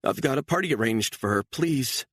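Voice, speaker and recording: A man's voice: animated, moderate pace, a bit bright, no echo, clean studio sound. A man voicing a frightened, pleading actress. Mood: pleading, panicked. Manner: high, frantic, tearful pleading. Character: terrified young woman actress, pleading.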